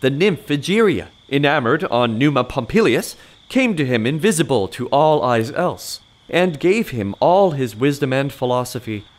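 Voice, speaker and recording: A man's voice: mid-range voice, big pitch swings, normal speed, lightly acted. A man playing a con man who poses as a learned mystic in an audio farce, declaiming grandly and with authority in period English. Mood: grandiose.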